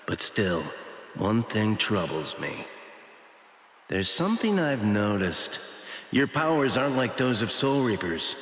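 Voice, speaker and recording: Sly, animated shopkeeper. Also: male voice, lowish voice, takes it slowly, breathy edge, heavily acted. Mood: grave, measured. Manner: theatrical, playful, glib. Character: sly, breezy shopkeeper hiding great power, cheekily self-flattering. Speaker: video game voice actor. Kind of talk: anime game dub acting.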